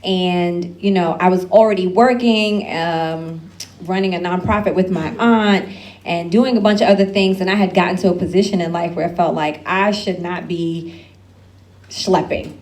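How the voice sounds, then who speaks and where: A woman's voice: fairly low pitch, steady tone, normal speed, darkish tone. A woman speaking on an alumni panel, giving candid advice with loose asides and frequent laughs.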